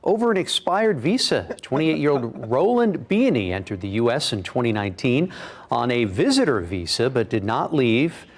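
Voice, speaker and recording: A man reading a broadcast news report in a measured, formal way. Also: medium pitch, sing-song.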